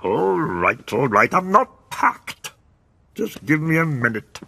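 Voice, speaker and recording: A bumbling, mumbling cartoon man, all grunts and muttered half-words that swing wildly up and down. His voice is gravelly and drawn out very slowly, with a music score running behind. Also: on the high side, very animated, sharp tone, slight background noise, full character voice. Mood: grumbling. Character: bumbling, mumbling grown man, childish and fussy. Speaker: lead cartoon character. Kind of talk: cartoon voice acting.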